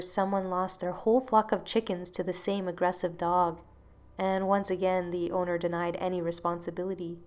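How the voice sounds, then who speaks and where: A woman narrating a first-person horror story in a soft, hushed way that sometimes drops to a whisper. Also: on the low side.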